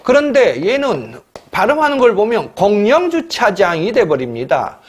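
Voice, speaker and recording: Man, middle-aged, exam-prep lecturer, high voice, big swooping pitch, emphatic, scolding, clears throat a lot. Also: wildly swooping pitch, moderate pace. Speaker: Korean language exam lecturer.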